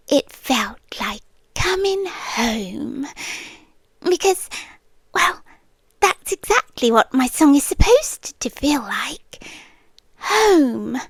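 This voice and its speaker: A woman's voice: high-pitched, slightly rough, no echo, heavily acted. A British woman voicing an ancient, possessive siren captor, sweetly menacing, in an intimate roleplay ASMR monologue that often drops toward a whisper. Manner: slow, coaxing, often dropping into whispers.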